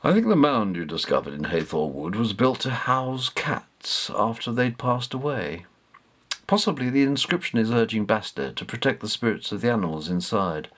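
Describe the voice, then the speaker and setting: A man voicing a learned, modest old man in a storybook, explaining things in a measured way. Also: on the low side, expressive, a bit bright. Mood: thoughtful, explanatory.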